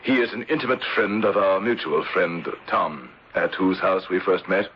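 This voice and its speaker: An actor playing a grave, formal gentleman rescuer and narrator in an old-time radio drama. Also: sits low, natural voice. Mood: courteous. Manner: formal, measured, courtly. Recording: dull, noisy old radio broadcast.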